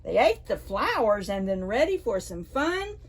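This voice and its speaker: A woman presenting a library storytime, reading to preschoolers in a playful, deliberate way. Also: medium pitch, sing-song, breathy edge.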